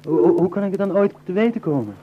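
A man's voice: high voice, lively intonation, quick pace. A man playing an anxious, brooding young man in a radio play, hesitant and stammering, repeating his words. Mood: pleading, flustered. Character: anxious, brooding young Dutchman fleeing people, troubled by women. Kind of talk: naturalistic radio play dialogue and muttered monologue. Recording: dull lo-fi tape with music.

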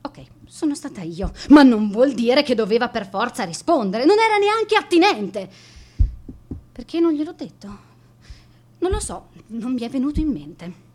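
A woman's voice: on the high side, sing-song, moderate pace, a little mellow. An actress in a radio monologue playing a strict, spiteful schoolteacher, sarcastic and given to answering her own questions. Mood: exasperated, obsessed. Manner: sarcastic, asking and answering herself. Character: bitter, spiteful, strict female schoolteacher, obsessed and vindictive. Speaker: radio drama actor.

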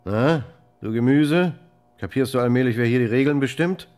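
An actor playing a sadistic orderly who taunts a helpless patient in a mocking, menacing way, in a German radio drama. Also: male voice, mid-range voice, moderate pace, a little mellow, lightly acted. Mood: mocking menace.

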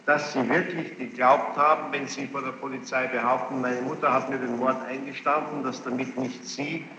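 A man's voice: medium pitch, fairly even intonation. Stern male judge in an echoey courtroom. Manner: stern, insistent, reproachful; formal, long-winded, halting sentences. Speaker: presiding judge questioning a witness. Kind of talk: courtroom questioning. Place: large reverberant courtroom. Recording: muffled, noisy, echoey archival courtroom tape. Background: clear tape noise and room ambience.

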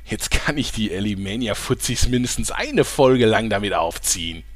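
A man playing a smug, sarcastic sidekick with a husky voice. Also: fairly low pitch, animated, normal speed, no echo.

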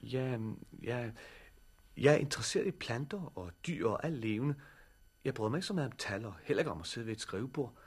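Young male lead for vintage radio drama: earnest, curious boy naturalist, husky mid-pitched voice. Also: animated. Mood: nervous, eager. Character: earnest, curious teenage boy, keen amateur botanist. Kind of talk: 1960s radio drama acting. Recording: 1960s radio drama recording.

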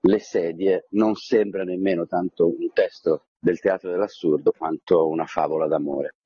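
A man's voice: sits low, fairly even intonation, moderate pace, mellow, slightly rough, dry recording. A man being interviewed as a theatre director, talking reflectively and passionately, with digressions and tag questions. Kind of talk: conversational interview, reflective storytelling. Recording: dull, lo-fi, dry.

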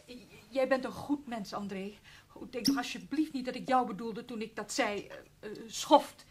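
A woman's voice: a little high, moderate pace, lightly acted. An actress playing a distraught, apologetic woman in a radio play, stammering and effusive in her pleading. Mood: grateful, ashamed. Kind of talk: Dutch radio drama acting.

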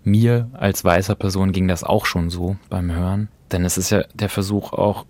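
A man with a very low voice hosts a reflective discussion. His intonation stays flat and his phrasing is careful and measured, with an earnest manner. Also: no room sound. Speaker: podcast host introducing radio plays.

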